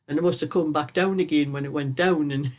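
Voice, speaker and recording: Older woman, vlog host, croaky with a cold, rambling and chatty. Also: low-pitched, steady tone, moderate pace, darkish tone. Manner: rambling, chatty storyteller who laughs often. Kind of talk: casual chat while knitting.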